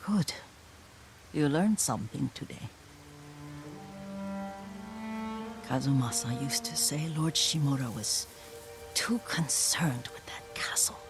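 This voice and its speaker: An actress playing a gentle, wistful elderly woman, a lord's devoted caretaker, in a video game, with a soft, breathy, reflective delivery. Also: extremely low pitch, lively intonation, in a whisper, over music, heavily acted. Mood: gentle, weary. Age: older. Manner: slow, tender, reflective. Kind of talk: dramatic video game acting.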